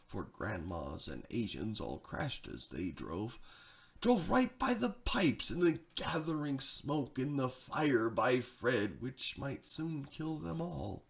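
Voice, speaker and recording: Male storyteller, slow measured pace, creaky gravelly tone, theatrical verse narration, hushed to excited. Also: medium pitch. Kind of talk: dramatic rhyming story reading.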